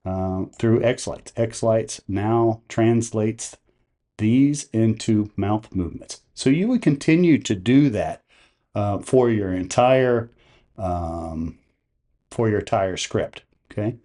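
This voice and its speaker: A man hosting a tutorial, narrating software steps one by one. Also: fairly low pitch, takes it slowly. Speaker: tutorial host.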